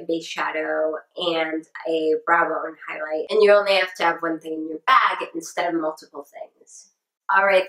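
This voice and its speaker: A woman's voice: fairly low pitch, fairly bright tone, echoey room, poor audio. A young woman with a sore throat, chatting upbeat to camera as she reviews her monthly beauty favorites in a small room. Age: young adult. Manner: chatty and upbeat, repeats words for emphasis. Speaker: beauty vlogger. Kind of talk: casual product review to camera. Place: small room indoors with shelves. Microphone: camera at close framing.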